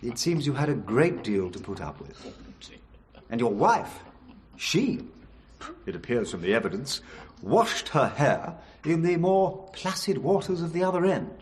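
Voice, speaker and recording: An actor playing a pompous middle-aged English High Court judge in a radio drama, ponderous and earnest. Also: male voice, very animated, measured pace, slight background noise. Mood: pompous, solemn.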